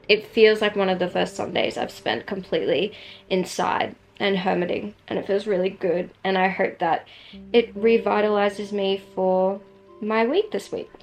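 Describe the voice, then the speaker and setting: A young woman from Singapore with a strong Australian accent, narrating her vlog in a relaxed, chatty way full of "like". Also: mid-range voice, normal speed, creaky, faint music behind, rough recording. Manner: relaxed, chatty, many "like" fillers. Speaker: vlogger. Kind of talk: casual voice-over narration.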